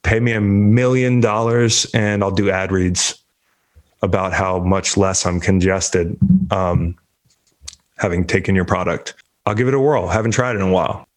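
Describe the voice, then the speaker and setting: A man with a fairly low voice riffs on sports in a loose, rambling way. He swears and cracks jokes as he goes. He sounds a little congested. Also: fairly even intonation, normal speed. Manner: profane, rambling, joking. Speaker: sports show host. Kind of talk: casual sports talk.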